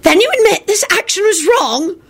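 Woman acting a raspy, high-pitched ancient little witch. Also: lively intonation, moderate pace. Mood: sharp, triumphant. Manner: snapping, accusatory. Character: ancient tiny stern witch, senior court official, sharp and triumphant.

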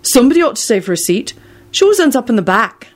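A woman's voice: fairly low pitch, animated, brisk, a little mellow, husky. A woman voicing an earnest, friendly young man, chatty and a little indignant, in a story.

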